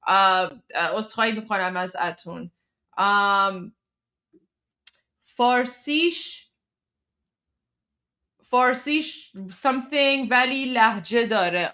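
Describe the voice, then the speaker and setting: Fast-talking Canadian woman chatting casually. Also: a little high, pushed and bright. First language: Persian. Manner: chatty, many fillers like "like" and "you know". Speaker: stream host. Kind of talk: casual chat with live chat viewers.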